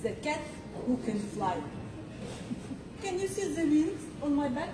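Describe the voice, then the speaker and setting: A woman voicing a whimsical female flying cat in a theatrical speech, deliberate and playfully boastful. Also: high-pitched, sing-song. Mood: playful, proud. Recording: distant roomy pickup with clear noise.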